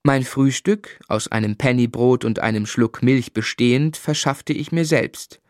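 Schauspieler als nachdenklicher Ich-Erzähler, trockene Studioaufnahme. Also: male voice, medium pitch, measured pace, no room sound, clean studio sound, lightly acted. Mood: sombre, reflective. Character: grown man narrating his unhappy boyhood, reflective, literary. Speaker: narrator. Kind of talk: scripted narration in German radio drama.

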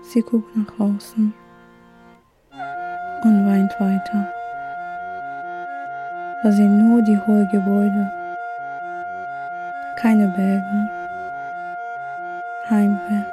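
Woman, slow pace, little pitch variation, short simple phrases, storytelling, prominent music behind. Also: monotone. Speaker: storyteller retelling a film. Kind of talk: slow, simple present-tense retelling for a radio feature.

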